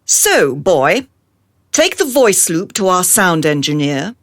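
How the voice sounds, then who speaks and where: A woman's voice: lowish voice, sing-song, slow. A woman playing a haughty, callous society madam in a satirical radio drama, theatrical and imperious in manner.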